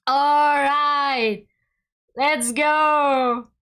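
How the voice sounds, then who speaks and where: Giggly young woman streamer. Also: high voice. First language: Hungarian. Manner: giggly, rambling, talks in quick excited bursts. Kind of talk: casual chat with viewers during a game stream.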